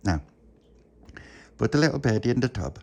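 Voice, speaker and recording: A friendly but commanding street magician, acted out by a male storyteller. He coaxes and instructs with showman's patter, his creaky voice rising and dipping freely. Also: medium pitch, big pitch swings, moderate pace, darkish tone, voice pushed up. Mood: gently instructing.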